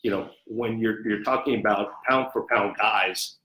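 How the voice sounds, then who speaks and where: In a roomy, echoing recording, a man with a lowish voice gives interview answers. He speaks as a sports executive, measured and conversational, often circling back over his phrases. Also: fairly even intonation, pushed and bright. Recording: echoey, roomy sound.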